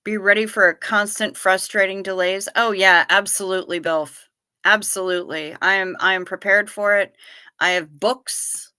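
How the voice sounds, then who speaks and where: Bright-voiced chatty woman in her fifties. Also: mid-range voice, steady tone, moderate pace, clean tone, no room sound. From Niagara Falls region. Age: 50s. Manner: chatty and playful, addresses viewers by name. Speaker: livestream host. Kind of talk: casual livestream chat reading viewer comments.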